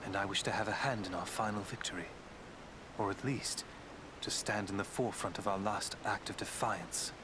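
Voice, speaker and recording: An actor playing a noble woodland elf prince in a fantasy game, speaking in a formal, measured, solemn manner. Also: male voice, on the low side, steady tone, close to an everyday voice. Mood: resolute, solemn. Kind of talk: fantasy video game character acting. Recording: clear background ambient noise.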